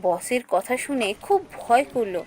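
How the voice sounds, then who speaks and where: High, lively young woman office worker voiced by actress. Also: normal speed, lightly acted. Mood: anxious. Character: earnest young female office worker narrating her own eerie story. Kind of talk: animated cartoon story acting and narration.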